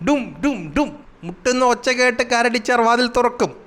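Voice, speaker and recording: High-pitched, grumbling cartoon trickster animal. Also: male voice, normal speed. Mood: scheming, smug. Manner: animated, exasperated, explains schemes with sound words. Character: cunning, grumbling trickster animal, a scheming jungle schemer. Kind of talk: Malayalam children's cartoon comedy acting.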